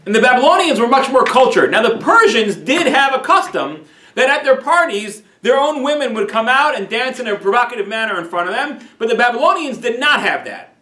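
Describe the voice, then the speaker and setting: A man's voice: extremely high pitch, very animated, a little fast, sharp tone. A man teaching a Torah class as a rabbi, telling a story with frequent restarts and repeated words. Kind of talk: weekly Torah class lecture. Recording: echoey room.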